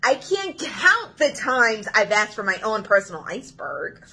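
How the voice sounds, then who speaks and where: A woman's voice: medium pitch, big pitch swings, bright, forceful tone, voice pushed down, full character voice, rough recording. A woman voicing an indignant, whiny pigeon from a picture book, complaining dramatically. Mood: pleading, indignant. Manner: dramatic, exclaiming, drawn-out complaints.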